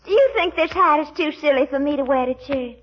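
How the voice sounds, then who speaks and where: An actress playing a gushing, coquettish Southern belle with a fluttery drawl in a 1940s radio comedy. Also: female voice, sits high, a little fast, close to an everyday voice. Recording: muffled lo-fi 1940s radio recording.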